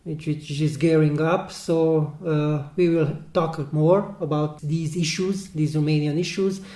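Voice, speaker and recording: A man, an English speaker, talking earnestly in a panel discussion, often pausing and filling gaps with "uh". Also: on the high side, steady tone, dark tone. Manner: hesitant, many pauses and fillers, earnest. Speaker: human rights foundation analyst. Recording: roomy echoing room.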